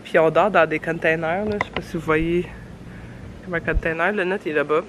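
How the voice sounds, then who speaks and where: A woman's voice: low-pitched, fairly even intonation, fairly quick. A young woman with a Québécois accent and a stuffy cold, chatting casually and laughing often in a travel vlog, filmed outdoors on a handheld camera. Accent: Québécois French. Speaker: travel vlogger. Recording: outdoor ambience with traffic noise.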